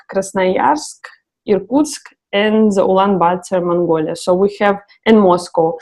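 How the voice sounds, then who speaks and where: Woman, halting interview English. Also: medium pitch, a little mellow. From Russia. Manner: hesitant, many fillers and restarts. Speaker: guest, co-founder of a travel project.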